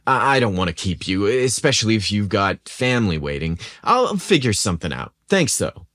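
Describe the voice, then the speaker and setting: Rugged young male construction manager, played by a male narrator: polite, weary, hesitant, expressive. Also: on the low side, moderate pace, no room sound, clean studio sound. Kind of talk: audio story character dialogue.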